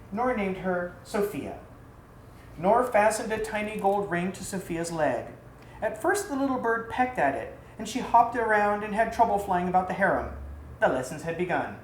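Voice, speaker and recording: A woman reading a scripted tale aloud in an expressive, theatrical storybook style. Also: mid-range voice. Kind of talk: scripted narration of an exotic tale. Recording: roomy echo, faint background noise.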